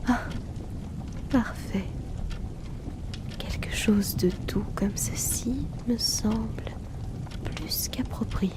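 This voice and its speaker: A woman doing a slow, teasing whispering hypnotist. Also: medium pitch. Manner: soft teasing whisper, unhurried. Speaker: ASMR roleplay performer. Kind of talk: whispered ASMR hypnosis roleplay.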